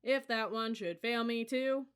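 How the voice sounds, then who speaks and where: Young male cadet leader, acted out by a story reader: raised pitch, forceful, commanding, chanting and hollering orders in sing-song rhythm. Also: measured pace, clean tone, lightly acted. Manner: chanting running cadences and barking orders.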